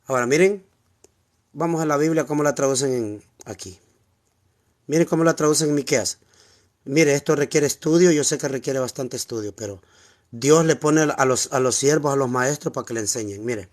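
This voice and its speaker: A man with a Honduran Spanish accent walks listeners through scripture in a casual teaching tone. His voice sits at a middle pitch. He keeps repeating key words and asking rhetorical questions as he explains, sometimes drifting away from the mic. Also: moderate pace. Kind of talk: informal Bible teaching, screen walkthrough.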